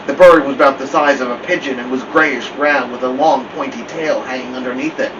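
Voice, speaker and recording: A man's voice: medium pitch, fairly even intonation, a little fast. A man reading a novel aloud as first-person storybook narration. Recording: dull, distant mic with loud air-conditioner hum.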